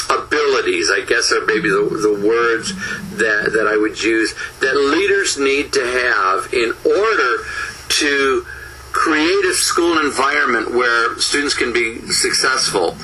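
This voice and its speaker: A man presenting a recorded conference lecture from his home office, talking fast with frequent restarts and repeated words. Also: mid-range voice, pushed and bright, slight background noise, rough recording.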